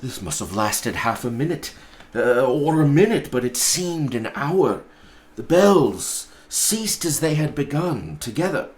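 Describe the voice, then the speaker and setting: A man narrating a storybook aloud in a deliberate, theatrical way, pausing between phrases. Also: mid-range voice, very animated. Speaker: pastor reading a story aloud.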